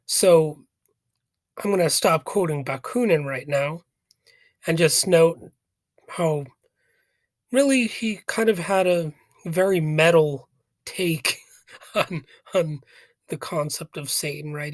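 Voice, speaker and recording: A man hosting a podcast with a raspy voice from a cold, commenting casually and rambling with hesitant, jokey asides. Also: fairly high pitch, takes it slowly, long pauses, dry recording. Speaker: podcast host. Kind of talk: casual commentary on a philosophy text.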